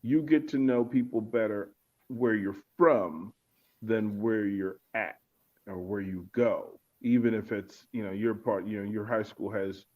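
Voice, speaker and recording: A man with a somewhat low voice, talking in an easy, reflective way as a guest on a talk show. He often circles back and repeats words while he finds his phrasing. The sound is dull and muffled, like a lo-fi recording. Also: fairly even intonation, measured pace. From Arlington, Virginia. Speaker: guest author and speaker. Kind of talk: casual interview chat. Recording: muffled lo-fi audio.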